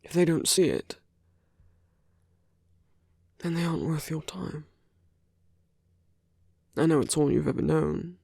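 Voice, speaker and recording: Man acting a slow, gravelly, teasing villain. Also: a little high, very animated, no echo, clean studio sound, exaggerated voice. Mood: tender, earnest. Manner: unhurried, hushed, pausing between phrases. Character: smooth teasing male villain, tender toward his enemy. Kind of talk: intimate ASMR roleplay acting.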